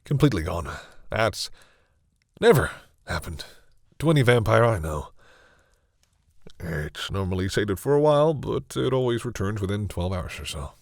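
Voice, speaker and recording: A man playing a centuries-old vampire in an intimate audio roleplay, speaking formally but haltingly in a husky, breathy voice. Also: fairly low pitch, animated, no room sound, studio quality. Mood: awed, hesitant. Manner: hesitant, halting, formal phrasing. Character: centuries-old male vampire, courteous, hesitant, commanding with servants.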